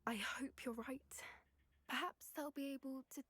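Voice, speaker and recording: A woman's voice: high voice, steady tone, normal speed, a bit bright, dry recording. A woman playing a sincere, uneasy young witch student in a video game, with a tentative, polite manner. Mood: nervous.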